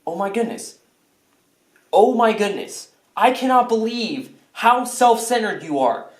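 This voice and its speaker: A man's voice: on the high side, lively intonation, normal speed. Actor as whiny, melodramatic man in echoey room. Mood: indignant. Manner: exasperated, mock-earnest, bursts into shouting. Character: self-centred, whiny, melodramatic man obsessed with his hat. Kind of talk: comedy skit acting. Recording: roomy, echoing indoor sound.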